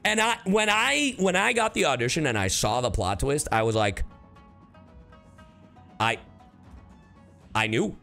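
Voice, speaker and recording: Animated, slow-paced man chatting on stream. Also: a little high, vocal fry, faint music behind. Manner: emphatic, slangy, repeats himself for emphasis. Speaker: streamer and voice actor. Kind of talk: casual livestream reaction chat.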